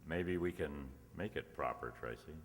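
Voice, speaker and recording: A man's voice: rumbling low, moderate pace. A man playing an earnest, smitten newspaperman suitor in a radio play, subdued in manner. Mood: earnest, hopeful. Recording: stage hall reverb.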